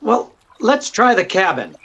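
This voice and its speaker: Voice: mid-range voice, very animated, a little slow, a bit bright, breathy edge, exaggerated voice. An actor playing a wisecracking, unflappable male amateur detective in 1940s radio style, with a droll, teasing, hesitant delivery. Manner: droll, sardonic, chuckling hesitations.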